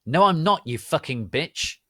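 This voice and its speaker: Man doing a shrill, melodramatic goth boy. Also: lively intonation, unhurried, no echo, studio quality, full character voice. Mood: anguished, angry. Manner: melodramatic shouting. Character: tearful, hot-tempered, self-pitying teenage goth boy.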